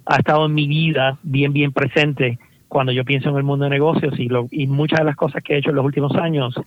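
A man's voice: medium pitch, flat delivery, fast talker, no room sound, narrow, tinny audio. A Puerto Rican man, a startup founder, answering interview questions thoughtfully, with many fillers and repeated words. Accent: Puerto Rican Spanish. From Puerto Rico.

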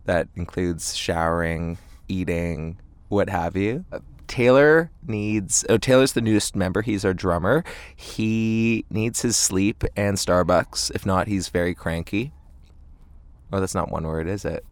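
Very low-voiced man, casual and halting. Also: no echo. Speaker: band singer being interviewed. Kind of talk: casual interview chat. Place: inside a parked tour van.

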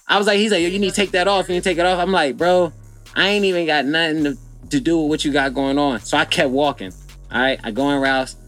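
A man's voice: fairly high pitch, fairly even intonation, fast, fairly bright tone, soft background music. A young man from Philadelphia, a guest in a casual chat, telling a story in a laid-back, slangy way.

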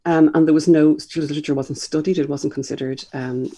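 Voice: medium pitch, fairly even intonation, fast, mellow, slightly rough. An older author and publisher with an Irish accent, speaking thoughtfully in an interview, with frequent ums and restarts. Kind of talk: reflective interview conversation.

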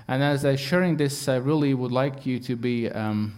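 A man preaches with a Latvian accent, searching for words in English and filling pauses with "um" and "uh." His delivery is earnest and plain, at an ordinary middle pitch for a man. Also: darkish tone, clean tone. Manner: halting English with many fillers, earnest. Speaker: guest preacher. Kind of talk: sermon.

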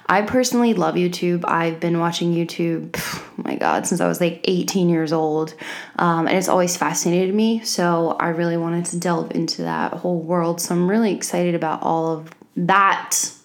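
A young woman hosts a podcast from her home office in a relaxed, rambling chat. Her voice sits a little low, and she is slightly congested, as if from a cold. She peppers her talk with ums and likes. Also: normal speed. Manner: chatty, many fillers like um and like. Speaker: podcast host. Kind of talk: casual chat.

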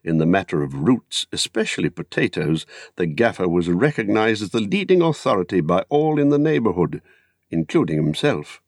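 An older British man narrating a literary story in a measured, theatrical way. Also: sits low, very animated, a little mellow, dry recording, studio quality. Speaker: audiobook narrator.

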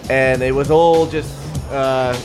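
A man who grew up in the Netherlands, talking as a guest filmmaker in a radio studio, thoughtful and halting with many restarts. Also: medium pitch, fairly even intonation, over music, low-quality recording. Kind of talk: radio interview. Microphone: studio microphone.

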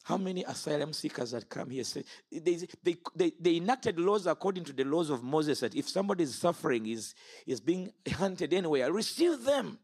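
A man in his fifties, originally from Uganda, preaching a fiery sermon. His voice is gravelly and a little husky. He swoops between low, steady statements and sudden high, forceful outbursts. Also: a little fast, few pauses. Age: 50s. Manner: impassioned, rises and falls sharply.

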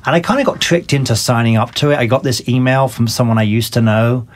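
A man's voice: mid-range voice, quick pace. Dry-humored English man, north-south mix accent. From England. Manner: dry, self-deprecating humor. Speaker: guest. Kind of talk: casual podcast chat.

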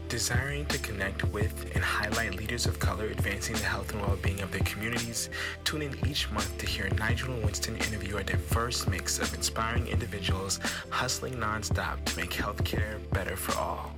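A man with a low voice reads a scripted podcast introduction in a smooth, measured way. Loud intro music plays under his voice the whole time. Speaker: podcast announcer.

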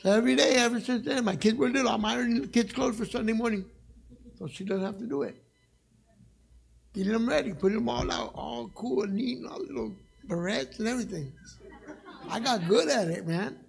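Raspy-voiced man preaching with passion. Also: extremely high pitch, a little fast. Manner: passionate and conversational, jokes with the congregation. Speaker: guest evangelist. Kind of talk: sermon. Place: church sanctuary.

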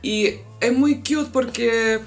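A woman with a Chilean accent co-hosting a casual pop-culture gossip chat, chatty and full of slang and quick asides. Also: a little high, soft background music. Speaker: podcast co-host.

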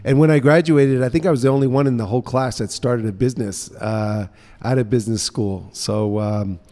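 Mellow man in a reverberant room. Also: mid-range voice, fairly even intonation, brisk. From Guatemala, England and mostly New Orleans, USA. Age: middle-aged. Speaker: interview guest, social entrepreneur. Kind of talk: conversational personal storytelling. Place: indoor co-working space. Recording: reverberant room with long echo.

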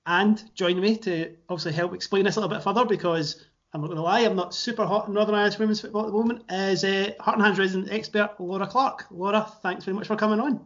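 Fast-talking podcast host. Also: male voice, high voice, steady tone, a bit bright, muffled recording. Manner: quick, chatty, with fillers and restarts. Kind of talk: casual podcast interview.

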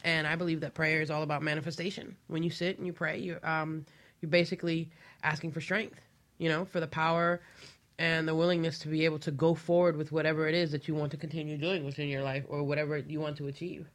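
A man gives his view earnestly as a panelist. He talks fast with little change in pitch. His voice is slightly high for a man. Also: level tone, fairly bright tone. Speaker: panelist on a discussion show.

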